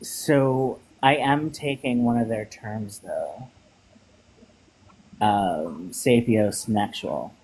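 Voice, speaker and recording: A man hosting a casual live-stream chat, speaking in a halting way with many pauses and ums. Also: fairly low pitch, darkish tone, breathy edge, rough recording.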